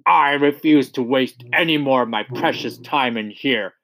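A man's voice: mid-range voice, fairly bright tone, no room sound, pitched above the performer's own voice. Man putting on a huge bellowing tyrant headmistress. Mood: indignant, fed up. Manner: roaring, drawn-out accusing delivery.

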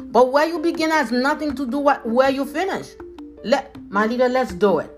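A woman's voice: high-pitched, moderate pace, soft background music. A woman with a Haitian accent giving an emphatic motivational talk, repeating phrases and calling her listeners leaders. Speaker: motivational speaker.

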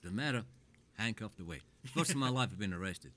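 An older man telling his life story in a casual chat, rambling through animated anecdotes with plenty of swearing. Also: on the low side, fairly quick.

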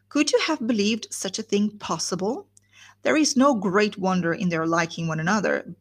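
A woman's voice: mid-range voice, husky. A woman reading aloud as a gossipy, chattering older woman who breathlessly retells news. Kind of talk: reading a classic novel aloud.

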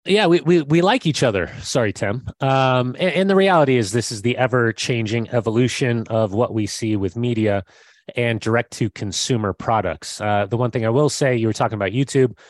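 Man, sick, hoarse voice, animated podcast co-host, dry close sound. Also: medium pitch, fairly quick, no room sound. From United States. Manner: animated storytelling with fillers. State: sick, losing his voice. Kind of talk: casual podcast chat.

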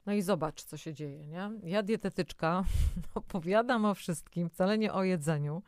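A woman's voice: lowish voice, normal speed, a little mellow, no room sound. A woman, a dietitian co-hosting a health programme, giving frank, no-nonsense advice in a conversational style.

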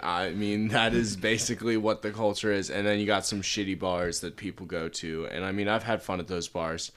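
A man's voice: lowish voice, fairly even intonation, normal speed, a bit bright. A young man in his twenties from Pittsburgh, co-hosting a casual podcast from an attic, joking in a blunt, crude way. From Pittsburgh, Pennsylvania. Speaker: podcast co-host. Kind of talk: casual chat.

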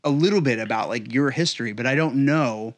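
A man's voice: mid-range voice, brisk. A man hosting a podcast, explaining his show in a loose, rambling way with many "like"s. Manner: rambling, many fillers and restarts. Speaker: podcast host. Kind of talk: casual interview chat.

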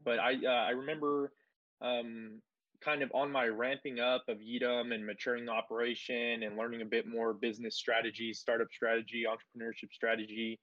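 A man from Texas, a guest in an interview, talking casually with a relaxed manner and lots of fillers and restarts. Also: mid-range voice, no echo. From Texas, USA. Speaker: guest, founder. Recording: dull lo-fi, dry.

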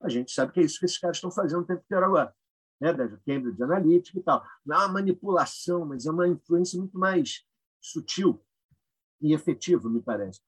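A man's voice: a little high, expressive, quick pace, husky, rough recording. A Brazilian man speaking as a panelist, reading a formal, erudite academic paper with brief informal asides.

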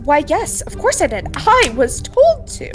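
A nervous, guilt-ridden young woman played by an amateur actress in a radio mystery. Her voice is very high and swoops widely, with halting, slow delivery. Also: wildly swooping pitch, faint music behind, heavily acted. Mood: defensive, evasive. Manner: halting, theatrical. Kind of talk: old-time radio drama acting.

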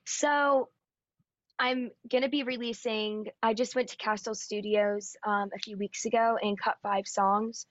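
A raspy-voiced woman talks in an easy, upbeat way, dotted with ums and likes. The sound is dull and dry, with no room around it. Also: fairly high pitch, steady tone, a little slow, no room sound. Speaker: guest singer-songwriter. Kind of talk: casual interview chat. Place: Nashville area.

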